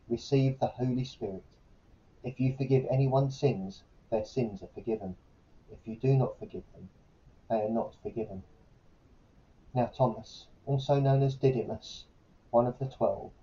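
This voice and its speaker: A man training as a vicar, reading a scripture passage aloud in a steady way. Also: medium pitch, normal speed. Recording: muffled, dull sound.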